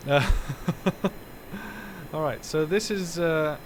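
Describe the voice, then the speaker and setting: A man's voice: a little high, very slow, a little hiss or hum, rough recording. A man whose first language is Dutch, giving rambling, self-correcting live commentary while streaming a game from home.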